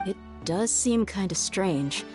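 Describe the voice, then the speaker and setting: A woman's voice: medium pitch, expressive, takes it slowly, over music. A woman playing a practical, tough mercenary in a video game, wry, blunt and casual. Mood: questioning. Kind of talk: anime game voice acting.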